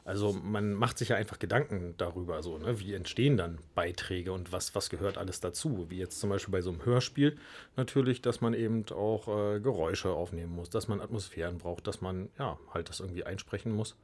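A man's voice: low voice, fairly quick, darkish tone. A man giving a conversational radio interview, reflecting aloud in a hesitant, searching way. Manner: hesitant, reflective, hedging phrasing. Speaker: interview guest, radio club leader.

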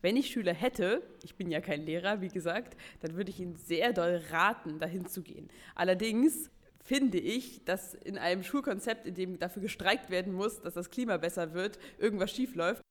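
A woman's voice: fairly low pitch, moderate pace. A woman giving spontaneous, personal answers in an interview.

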